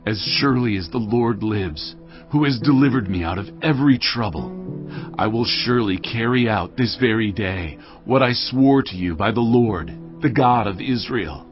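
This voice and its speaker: A man's voice: fairly low pitch, moderate pace, lightly acted. A man acting a grave aged king, dull recording. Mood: solemn, commanding. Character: aged King David, solemn, commanding, near death. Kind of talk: dramatised audio Bible acting. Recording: dull lo-fi audio with music behind.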